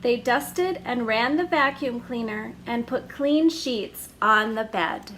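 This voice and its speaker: A woman hosting a children's story hour, reading a picture book aloud in a gentle, expressive way with drawn-out emphasis. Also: a little high, slight background noise.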